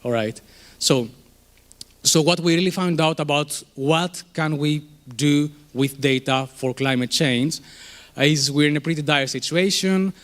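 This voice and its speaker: A Greek-accented man in his thirties speaks at a conference in a room. His voice sits a little high. He talks loosely and conversationally, with frequent fillers and restarts. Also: a little slow. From Greece. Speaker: conference speaker, startup co-founder. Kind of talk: conference presentation.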